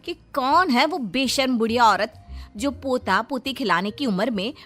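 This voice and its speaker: Nosy cartoon housewife, woman performer: easily scandalised, disapproving, higher register, music bed. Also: expressive, moderate pace, a bit bright. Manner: disapproving, exclaiming. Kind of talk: Hindi cartoon story dubbing. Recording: music behind the voice.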